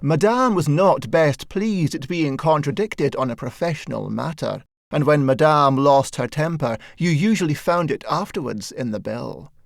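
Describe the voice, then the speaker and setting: A man reads a satirical short story as an audiobook narrator. His voice sits a little high and moves expressively up and down. The delivery is measured and wry, recorded dry and close. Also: lively intonation, vocal fry, dry recording.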